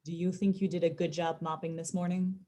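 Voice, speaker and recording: A woman's voice: fairly low pitch, little pitch movement, a little fast, mellow, natural voice. A woman playing a stern, exasperated grocery store supervisor, curt and chiding, in an audio drama. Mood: condescending.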